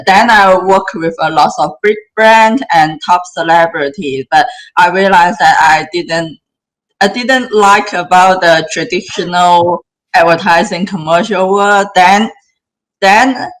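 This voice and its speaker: A woman's voice: fairly low pitch, poor audio. A woman in her thirties from southern China, speaking in an interview, often restarting her sentences. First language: Cantonese. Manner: halting, many restarts. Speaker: guest filmmaker. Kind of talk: casual interview talk.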